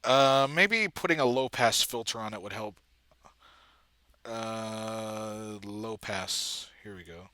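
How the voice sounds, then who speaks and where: Casting: male video editor, very bright and forceful tone, unhurried, halting, casual self-deprecating muttering. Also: fairly low pitch, dry recording. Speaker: video editor and comic dubber. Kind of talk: casual thinking-aloud screen-recording commentary.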